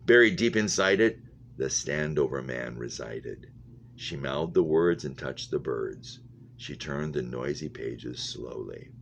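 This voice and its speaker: Man, very low voice, reading a story aloud, stumbling delivery, humming background noise. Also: animated, measured pace, vocal fry, a bit bright. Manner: stumbles over words and rereads phrases. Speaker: reader, English teacher. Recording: muffled lo-fi audio with humming noise.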